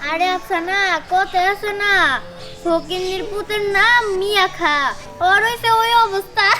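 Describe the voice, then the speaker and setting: A squeaky, very high-pitched village child, voiced by a woman, chats. It is a fairy-tale drama with music behind the voice. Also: fairly even intonation, unhurried. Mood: teasing. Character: chatty, cheerful village child, coaxing playmate.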